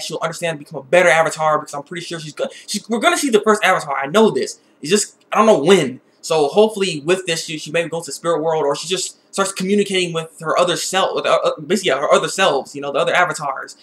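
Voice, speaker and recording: A man giving a casual, opinionated episode review in an excitable, rambling way that often repeats words. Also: fairly high pitch.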